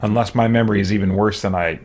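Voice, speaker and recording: A man giving casual game commentary in a halting, reflective way. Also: lowish voice, steady tone, darkish tone. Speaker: gamer, roleplay host. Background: faint game music.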